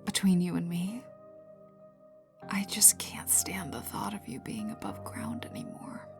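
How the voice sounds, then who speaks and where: A woman voicing a tough, bitter, sardonic young female villain in an intimate ASMR audio roleplay, often dropping into breathy half-whispers and swearing. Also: lowish voice, sing-song, measured pace, full character voice. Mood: bitter, menacing. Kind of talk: intimate ASMR roleplay, one side of dialogue. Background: ambient music.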